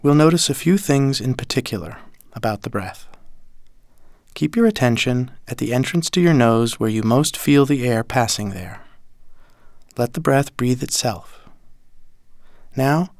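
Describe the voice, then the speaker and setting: A man with a mid-pitched voice leads a guided meditation. He gives each instruction calmly and deliberately, like a teacher. The sound is dry, with no room echo.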